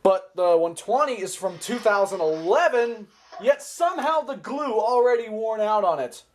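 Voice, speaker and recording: A man giving an informal, excitable show-and-tell commentary, often interjecting as he goes. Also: sits high, moderate pace. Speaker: video host. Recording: clearly noisy home recording.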